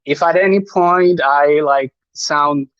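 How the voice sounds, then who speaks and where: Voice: mid-range voice, slow pace, a bit bright, no echo, dull audio. A speaker from Chile with a Chilean Spanish accent, a native Spanish speaker, giving a live online panel talk with many fillers, restarts and nervous laughs.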